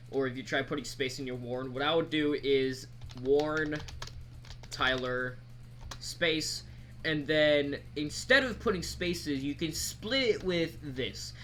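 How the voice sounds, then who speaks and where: A man giving a casual screen-recorded software tutorial, talking in a halting, rambling, informal way. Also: fairly high pitch. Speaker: mod creator presenting tutorial. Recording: background noise, keyboard typing.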